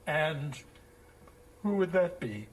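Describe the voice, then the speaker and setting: A man's voice: a little high, sing-song, slow pace, distant mic. A man playing a polite, fussy, self-assured village schoolmaster in a theatrical audio drama, speaking in a formal, genteel way. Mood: curious.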